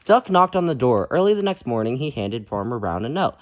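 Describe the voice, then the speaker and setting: A man's voice: medium pitch, big pitch swings, a little fast. A man hosting a children's read-aloud, reading expressively and speaking in an encouraging way. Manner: encouraging, addressing listeners as friends.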